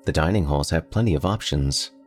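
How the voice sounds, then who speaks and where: A man's voice: extremely low pitch, fairly quick, faint music behind. A man voicing a young college-age daughter who answers patiently and a bit pointedly. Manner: patient, lightly sardonic.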